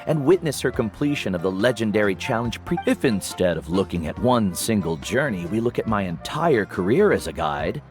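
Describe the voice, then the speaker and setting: A man playing a calm, wise veteran guide and mentor in a fantasy video game, speaking in a measured, philosophical way. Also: fairly low pitch, soft background music. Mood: calm, reflective. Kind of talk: English video game voice acting.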